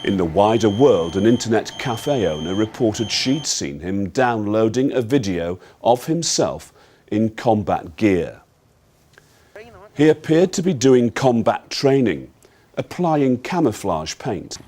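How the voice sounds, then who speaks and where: A man's voice: on the low side, very animated, slow, warm tone, breathy edge. A man narrating a dramatised film from a script, in the style of a news report.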